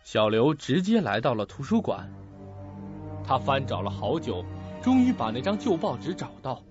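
A man's voice: mid-range voice, big pitch swings, normal speed, muffled recording, over music, natural voice. A man narrating a suspense mystery radio drama in a dramatic, scripted style. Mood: suspenseful.

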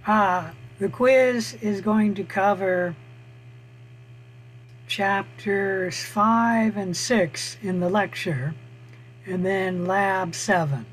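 A middle-aged man with a hoarse voice, teaching a college biology class on a video call, speaking haltingly with frequent pauses. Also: sits high, slight background noise, low-quality recording. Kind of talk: online lecture over screen share. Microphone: computer mic on a video call.

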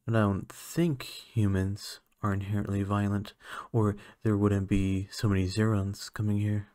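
A man's voice: fairly low pitch, flat delivery, a little slow, mellow, no echo, clean studio sound, lightly acted. A man playing a lonely, earnest alien scientist in an ASMR roleplay monologue, talking in a hesitant, musing way. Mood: hesitant, awkward.

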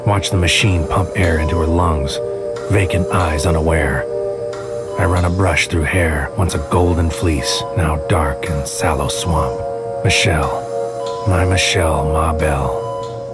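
A man playing a bleak, alienated psychic warrior, speaking a deliberate, poetic inner monologue in an audio drama. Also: very deep voice. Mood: bleak, grieving. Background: music.

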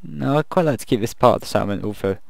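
A man casually commenting while he builds in a video game, thinking aloud and rambling, his voice croaky from the late hour. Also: mid-range voice, lively intonation, quick pace, a little mellow, no room sound, clean studio sound. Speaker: gaming video creator.

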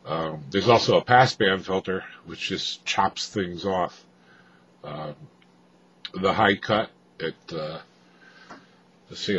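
A man giving an off-the-cuff technical walkthrough of equipment, explaining haltingly with frequent hesitations, as a hobbyist presenter. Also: low-pitched, fairly bright tone. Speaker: amateur radio hobbyist presenter. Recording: dull lo-fi audio, faint background noise.

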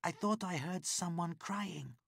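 A man reading a storybook, voicing a calm young woman police officer who speaks gently and steadily. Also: high-pitched, unhurried, gravelly, slightly rough, no echo, studio quality, voice pushed up, heavily acted. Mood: calm reassuring.

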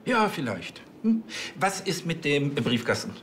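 Pedantic, exasperated station chief, crime comedy, highish voice, faint ambience behind. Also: male voice, sing-song, a little slow, fairly bright tone, a little hiss or hum. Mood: sarcastic. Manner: officious, sarcastic, tags sentences with hm.

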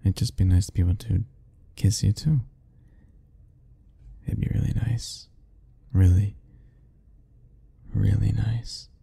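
A young man softly speaking a tender, hesitant ASMR roleplay, musing aloud. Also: fairly low pitch, fairly even intonation, slow pace, vocal fry, pauses often, breathy edge. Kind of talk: soft-spoken ASMR roleplay.

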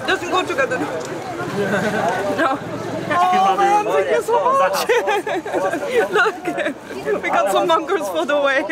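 A woman's voice: very high voice, lively intonation, slow, a loud crowd. A woman in her thirties hosting a sailing vlog, talking casually to a camera held at arm's length and laughing often. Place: outdoor market and sailboat.

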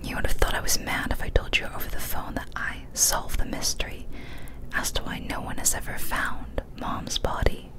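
Whispering young woman reading a story. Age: young adult. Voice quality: soft, breathy unvoiced whisper. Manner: slow, careful, hushed storytelling. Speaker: ASMR creator reading scary stories. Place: small decorated room, indoors. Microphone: close studio microphone on a boom arm.

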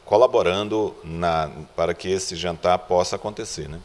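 A man's voice: low-pitched, fairly even intonation, normal speed, a little mellow. A middle-aged man with a Brazilian accent, a local reporter putting questions in an on-location interview. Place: indoor room with bare walls. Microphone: handheld reporter's microphone. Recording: reverberant room sound.

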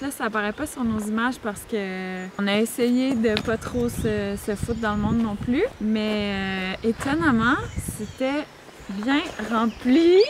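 A woman in her thirties with a Québécois accent talks easily to a camera set a few feet away. Her voice sits a little high, relaxed and chatty, with frequent small hesitations. Loud open-air ambience runs behind her. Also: noisy background. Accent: Québécois French. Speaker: travel vlogger. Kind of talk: casual chat to camera. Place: outdoors in a park and parking area.

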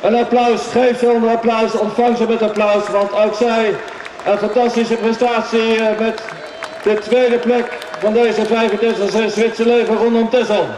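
A man's voice: very high-pitched, level tone, measured pace. A man announcing enthusiastically to a crowd over a public-address system at an outdoor sailing event. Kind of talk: live public-address race commentary and prize-giving. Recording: very echoey, distant PA speaker, noisy outdoors.

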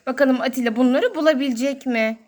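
A woman's voice: high voice, fast talker, darkish tone. A woman hosting toy play for young children as a mother, talking in a sing-song, exclaiming way and drawing out her words.

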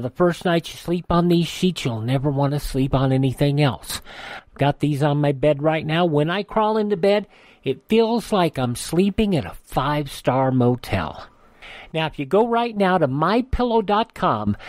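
A man's voice: fairly high pitch, a little mellow, no room sound, studio quality. A man with an American accent hosting a radio show, reading announcements and ads in a conversational way. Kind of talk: radio host announcements and live ad reads.

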